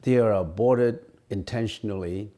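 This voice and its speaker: A man whose first language is Cantonese, a Buddhist monk answering questions in a dharma talk, halting with frequent restarts and fillers, slowing down to stress his points. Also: fairly low pitch, mellow. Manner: slow, emphatic teaching tone.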